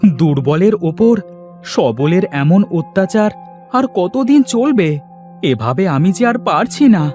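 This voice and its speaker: A man's voice: high-pitched, big pitch swings, a little slow, darkish tone, faint music behind. A man voicing a poor, weary village basket weaver and father in a fairy-tale cartoon, lamenting and pleading as he speaks. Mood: despairing.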